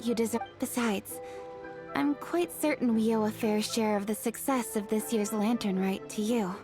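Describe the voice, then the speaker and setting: A woman's voice: on the high side, steady tone, normal speed, over music, close to an everyday voice. A woman playing a poised, respectful young female official in a video game, speaking politely and with formal assurance. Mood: respectful, assured.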